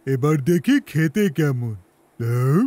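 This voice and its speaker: An actor voicing an eager, impatient child with a sweet tooth in a children's cartoon, in an excitable, expressive manner. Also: mid-range voice, unhurried, heavy background noise, natural voice. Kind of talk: children's animated cartoon dubbing.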